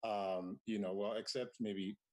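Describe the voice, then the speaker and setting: A man in his thirties who grew up in Nigeria, speaking on a virtual panel. His voice sits on the low side, and he talks in a reflective way with plenty of "um"s and restarts. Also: fairly even intonation, unhurried, a little mellow. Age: 30s. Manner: thoughtful, with many fillers and restarts. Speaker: panelist, comic creator.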